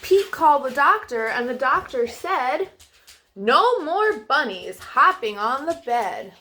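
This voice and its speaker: A woman's voice: sits high, very animated, slow, bright, forceful tone. A woman hosting a children's storytime, reading aloud and addressing young listeners in a sing-song way.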